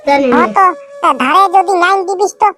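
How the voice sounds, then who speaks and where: An actor voicing a cheeky village scrounger in a comedy cartoon, wheedling and nagging in a rural Purulia Bengali accent. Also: male voice, very high-pitched, normal speed, sharp tone. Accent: rural Purulia, West Bengal. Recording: music behind the voice.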